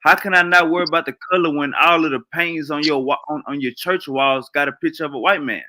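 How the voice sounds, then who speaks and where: A man cutting into a casual discussion with forceful, indignant objections. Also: on the high side, quick pace.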